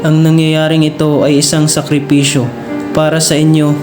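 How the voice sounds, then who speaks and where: A man's voice: on the high side, completely flat delivery, normal speed, close to an everyday voice. A man playing a solemn patriotic hero, resigned to his fate and declaiming in an amateur radio drama. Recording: echoey room, music behind.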